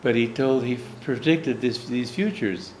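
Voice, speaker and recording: A man's voice: medium pitch, measured pace, a little mellow, a little hiss or hum. American man, spiritual teacher, anecdotal storytelling, echoey room, light background ambience. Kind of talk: personal storytelling talk.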